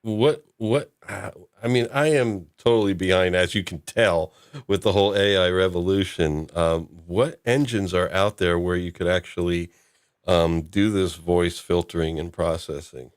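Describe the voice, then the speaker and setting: A man's voice: sits low, moderate pace, smooth, no room sound, clean studio sound. A man hosting a casual podcast discussion, talking in an informal, rambling way. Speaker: host.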